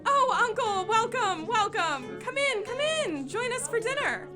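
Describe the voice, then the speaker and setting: A woman's voice: very high-pitched, very animated, a little slow, exaggerated voice. A woman playing a polite, welcoming young woman of a Victorian household in an audio drama. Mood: delighted. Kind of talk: theatrical audio drama acting. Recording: music playing behind the voice.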